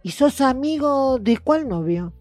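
A kindly, inquisitive older Argentine mother in an audio drama. Her voice is high and closely recorded, with faint music behind. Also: animated, measured pace, darkish tone, no room sound. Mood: kindly, curious. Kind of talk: naturalistic audio fiction acting.

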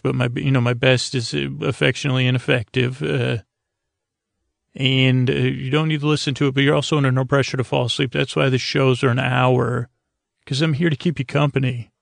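A man hosting a bedtime podcast in a casual, meandering monologue, halting and self-deprecating, often trailing off mid-thought, with a gravelly voice. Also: mid-range voice, no room sound, studio quality. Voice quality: gravelly, creaky. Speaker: podcast host.

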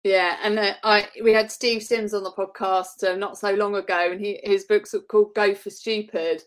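A woman's voice: medium pitch, fairly even intonation, moderate pace. A woman hosting a podcast, a doctor, asking her guest thoughtful, encouraging questions. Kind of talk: interview questions.

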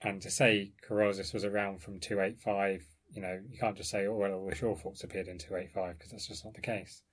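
Low-voiced man explaining research. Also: level tone, a little fast, few pauses, breathy edge. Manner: explanatory, discursive, hedging. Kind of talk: informal academic discussion.

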